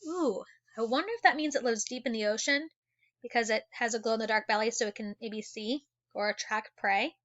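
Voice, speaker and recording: A woman teacher reading an educational children's book aloud in an animated way, adding exclamations and wondering asides for young listeners. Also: a little high, fairly quick, dull audio.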